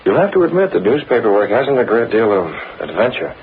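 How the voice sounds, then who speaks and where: A man's voice: mid-range voice, big pitch swings, fairly quick, close to an everyday voice. A man playing a cool, restless newspaper boss with a secret, in a vintage American radio drama. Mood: offhand. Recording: narrow-band, noisy old radio recording.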